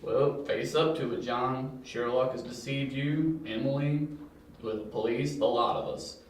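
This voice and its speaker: Man as flat, blunt cop, very echoey. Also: mid-range voice, measured pace, a little hiss or hum, lightly acted. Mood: matter-of-fact. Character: blunt, world-weary male police officer. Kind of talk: amateur audio drama acting. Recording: echoey room, far from the mic.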